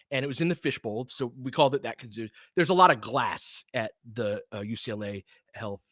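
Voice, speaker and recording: A man's voice: mid-range voice, a bit bright, dry recording, studio quality. An American man hosting a basketball livestream, talking in an informal, slangy way as he mixes fan chat with play-by-play commentary.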